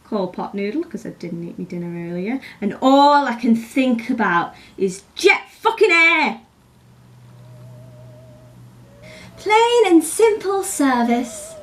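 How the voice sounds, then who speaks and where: Heard from a distance in an echoing space, an actress plays a laid-off Yorkshire air hostess in her fifties. She is rueful, sardonic and earthy, delivering a theatrical confession at a slow, deliberate pace and a fairly high pitch. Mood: bitter, weary. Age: 50s. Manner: wry, confessional, sweary. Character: rueful fifty-two-year-old laid-off flight attendant, sardonic and earthy. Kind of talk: theatrical dramatic monologue. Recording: roomy, distant mic, loud background noise.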